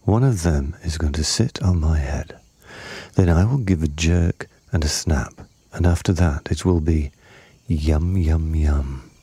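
A man voicing an enormous greedy crocodile in a storybook, scheming and muttering to himself with slow relish. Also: rumbling low, warm tone, breathy edge, no room sound, exaggerated voice. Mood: gloating.

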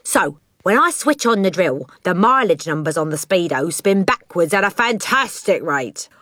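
A woman's voice: fairly low pitch, a bit bright, dry recording, studio quality, pitched above the performer's own voice. A woman voicing a boastful, bullying car-dealer father in a children's storybook, with swaggering, emphatic, slangy delivery. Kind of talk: children's audiobook character voice.

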